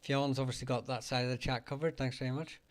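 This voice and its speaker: A man hosting a live chat, talking haltingly with many fillers and restarts, his voice getting hoarse as he keeps clearing his throat. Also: medium pitch, fairly even intonation. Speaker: livestream host answering surname questions. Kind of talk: casual live-chat talk.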